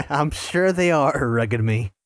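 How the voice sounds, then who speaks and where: A man's voice: mid-range voice, expressive, slow pace, no echo, studio quality. A man voicing a cocky, sarcastic young male hedgehog in a fan audio drama, playful in tone.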